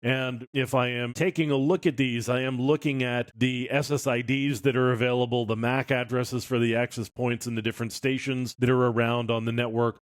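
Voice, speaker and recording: Male tutorial instructor, middle-pitched voice, informal walk-through delivery, very dry sound. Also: flat delivery, moderate pace, few pauses, no echo. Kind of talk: screencast tutorial, explaining as he demonstrates.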